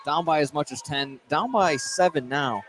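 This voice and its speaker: A man's voice: a little high, moderate pace. A man giving casual, conversational analysis of a live basketball game as color commentator. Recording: loud crowd behind the voice. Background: loud gym crowd.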